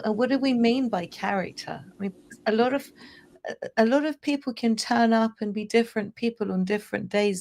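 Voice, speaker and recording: A woman with a croaky, hoarse voice, talking as a guest in a thoughtful, questioning way. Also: a little high, a little mellow. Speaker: guest in an audio room. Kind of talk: casual chat.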